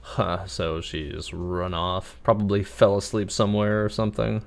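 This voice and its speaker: A man's voice: deep voice, level tone, a little slow, gravelly. A man giving casual live stream commentary on a game, chatty and rambling.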